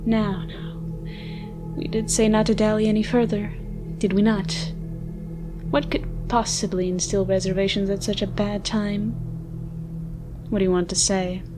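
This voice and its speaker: A woman playing a haughty, sardonic ancient vampire with a soft side, speaking in wordy mock-formal lines in a dramatic ASMR roleplay. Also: mid-range voice, level tone, unhurried, pauses often, close to an everyday voice. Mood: mock polite, menacing. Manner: theatrical, wordy, dry mock-formal speech. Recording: music behind the voice. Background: music.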